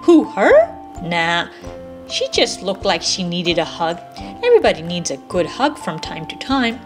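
A woman voicing a friendly, playful young girl in a children's storybook read-aloud, with expressive reading. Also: fairly low pitch, a little slow, over music. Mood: playful, lively.

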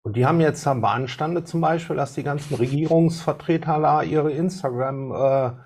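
A man's voice: on the high side, normal speed, darkish tone. Rambling man on a video call. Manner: rambling, searching for words, tag questions. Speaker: participant. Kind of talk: casual video call discussion.

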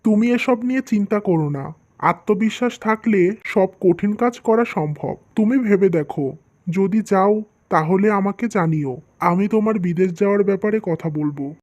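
A woman's voice: medium pitch, normal speed, darkish tone, lightly acted. Woman voicing a kindly older cartoon father-in-law. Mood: reassuring. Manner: persuasive, advising. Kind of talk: scripted cartoon dialogue.

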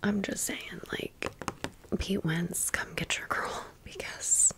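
A woman whispers softly and breathily, with almost no voiced sound. She takes her time, speaking very slowly in a casual, chatty ASMR style, like someone sharing a story. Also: mid-range voice, fairly even intonation. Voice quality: soft breathy unvoiced whisper. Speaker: ASMR video creator.

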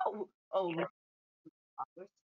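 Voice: very slow, dry recording, phone-quality sound. A friend on a voice call, talking in a blunt, teasing way. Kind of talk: casual teasing chat on a voice call.

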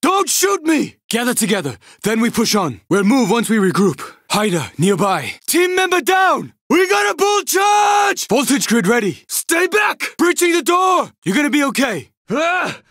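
A young survivor in a zombie shooter. His voice is very high and swings widely in pitch. He fires off short, urgent combat callouts in a bright, hard-edged tone. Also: very animated, measured pace, few pauses, no room sound, studio quality, full character voice. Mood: steady, focused. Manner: terse, urgent, forceful callouts. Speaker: video game voice actor. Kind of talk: video game combat barks.

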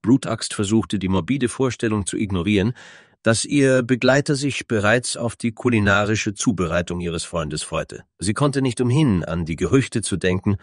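A man's voice: fairly low pitch, animated, moderate pace, dry recording, studio quality. A man narrating a scripted fantasy story as its storyteller.

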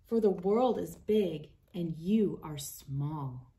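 A woman voicing a tiny, motherly storybook guardian of seeds, tender and reassuring, speaking slowly to her little ones. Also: on the low side, animated, dark tone, a little hiss or hum.

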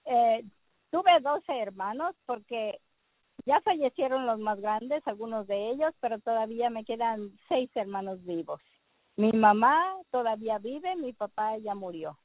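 A woman's voice: fairly high pitch, animated, a little slow, no echo. A middle-aged woman from Jalisco, Mexico, telling her story by phone in a hesitant way with many fillers. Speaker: caller giving testimony. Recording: dry, tinny phone line.

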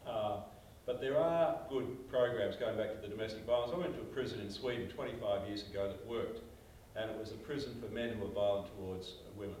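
A man's voice: fairly low pitch, fairly quick, a little mellow, a little hiss or hum. Older australian man on a panel, emphatic storyteller, heard from far off in an echoey room. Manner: emphatic storyteller, gestures while talking. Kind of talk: animated panel discussion. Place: small meeting room.

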